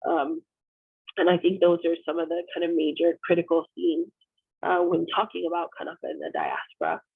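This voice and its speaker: Woman host, 30s, video call, dry tinny audio, gravelly edges, casual and frank. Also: fairly low pitch, lively intonation, brisk, no echo. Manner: conversational and candid, frequent "um". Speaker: discussion host. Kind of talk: hosting an online group discussion.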